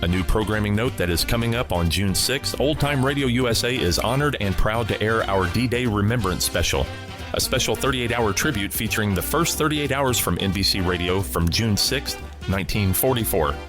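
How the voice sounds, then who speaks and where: Deep-voiced podcast host over music. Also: male voice, animated, normal speed. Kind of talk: scripted podcast outro and promo.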